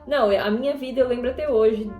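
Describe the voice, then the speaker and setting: A woman's voice: on the high side, fast talker, roomy sound, highs cut off, soft background music. A Brazilian woman in her twenties chatting casually to her viewers while she paints, rambling with lots of fillers and tag questions. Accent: Brazilian Portuguese. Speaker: YouTuber.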